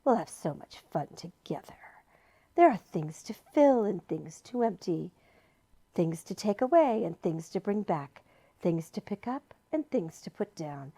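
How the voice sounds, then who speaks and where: Storybook villain: scheming male demon, shrill cries and sly whispers, read by a woman. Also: animated, unhurried. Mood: whispered coaxing. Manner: shrieking, then soft deceitful whisper.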